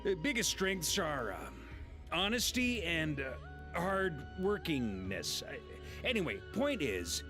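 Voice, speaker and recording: An actor playing an affable, bluff, slightly awkward middle-aged knight commander in a video game, informal and halting in manner. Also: male voice, fairly high pitch, wildly swooping pitch, heavily acted. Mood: awkward, friendly. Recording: music under the voice. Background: background music.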